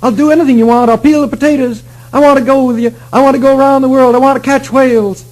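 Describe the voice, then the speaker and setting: A man voicing an eager young boy begging to join a ship's crew, high and breathless. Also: fairly even intonation, fast talker, darkish tone, low-quality recording. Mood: pleading eager. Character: eager excited young boy cabin hand.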